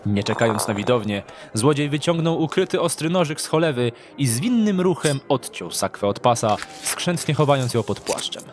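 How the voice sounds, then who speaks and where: A man's voice: mid-range voice, sing-song, normal speed, few pauses. Male audio drama narrator over faint music. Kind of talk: scripted dramatic storytelling narration. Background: background music.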